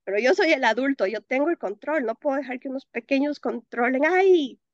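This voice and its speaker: Expressive Ecuadorian woman, interview guest. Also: high voice, a little fast, no echo, muffled recording. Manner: expressive, laughs often, mixes in English words. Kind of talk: interview answers.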